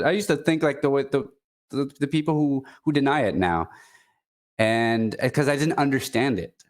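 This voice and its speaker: A man from Quebec with a Quebecois French accent, a native French speaker chatting casually as a guest, stopping and restarting often. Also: mid-range voice. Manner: halting, many restarts and fillers. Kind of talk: casual chat.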